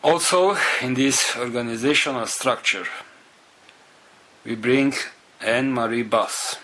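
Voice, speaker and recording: A man leading a group, making organizational announcements in halting, non-native English broken into short phrases with pauses. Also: medium pitch, pushed and bright, poor audio.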